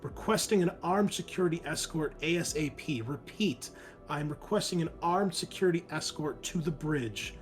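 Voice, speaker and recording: A man's voice: on the high side, normal speed, soft background music, voice pushed up. A man playing a commanding female starship captain giving a formal, urgent military announcement in a tabletop game.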